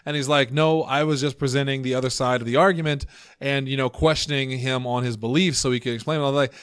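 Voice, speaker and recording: एक आदमी जो पॉडकास्ट का सह-होस्ट है, मज़ाकिया और व्यंग्य भरे अंदाज़ में बातें करता है और अक्सर हँसता है। रिकॉर्डिंग सूखी और पास के माइक से है, गूँज नहीं।. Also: male voice, mid-range voice, fairly even intonation, fast talker, fairly bright tone, smooth, no echo, clean studio sound. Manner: joking, sarcastic, laughs often. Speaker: podcast co-host. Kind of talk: casual podcast chat.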